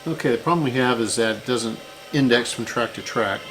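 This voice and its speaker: A man's voice: mid-range voice, fairly quick, music in the background, low-quality recording. A man giving a casual hands-on repair walkthrough, unscripted and thinking aloud as he works. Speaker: repair channel host.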